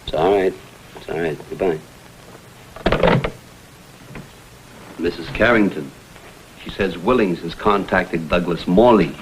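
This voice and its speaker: A man's voice: deep voice, moderate pace, noisy background, close to an everyday voice. An American man playing an earnest, persistent photographer-investigator in a vintage TV crime drama, speaking in an urgent, clipped way. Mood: reassuring, brisk.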